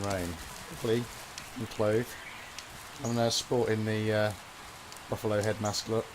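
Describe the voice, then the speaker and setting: A hoarse man talks in a chatty, self-mocking way. His voice sits low and deep. Loud outdoor game ambience with animal noises runs behind him. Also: background noise. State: hoarse from a cold, losing his voice. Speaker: gameplay guide presenter. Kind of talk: casual game commentary. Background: game sounds, animal noises, rural outdoor ambience.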